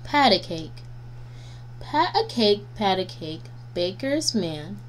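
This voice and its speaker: Woman, children's rhyme reader, very unhurried, sing-song, deliberate, faint background hum. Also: medium pitch, very animated. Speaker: guest storybook reader. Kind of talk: nursery rhymes read aloud for children. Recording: noisy home recording with hum.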